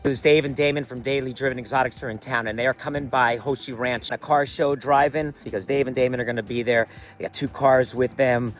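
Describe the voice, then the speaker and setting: Male announcer, mid-pitched, casual promo delivery, faint music behind. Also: steady tone, fairly quick, hardly any pauses.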